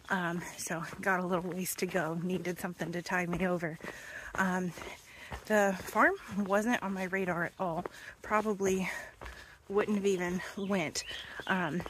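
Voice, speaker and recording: A woman's voice: on the low side, noisy background. A young woman, chatting casually into a phone held at arm's length while thru-hiking on a wooded trail, sometimes puffing from the climb. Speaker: thru-hiker vlogger. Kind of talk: casual vlog. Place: outdoors on a wooded mountain trail.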